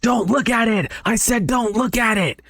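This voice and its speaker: A man's voice: deep voice, level tone, very slow, fairly bright tone, no echo, clean studio sound, full character voice. A man voicing an eerie, possessed young woman who menacingly repeats herself in a horror story retelling. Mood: furious, shrieking. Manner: repetitive, fixated.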